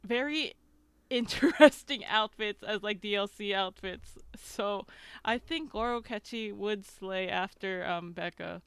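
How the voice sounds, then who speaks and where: A woman's voice: mid-range voice, unhurried, fairly bright tone, clean tone, no echo. A woman co-hosting a casual podcast, explaining things in an earnest, amused, fannish way. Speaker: podcast host. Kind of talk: casual group chat.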